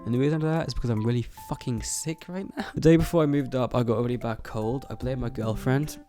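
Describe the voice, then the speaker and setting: A young man with a stuffy nose from a cold, rambling casually to camera about moving into his student flat, swearing often and laughing between thoughts. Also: medium pitch, fast, faint music behind. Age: young adult. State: congested from a cold. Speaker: YouTuber. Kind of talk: casual vlog chat to camera.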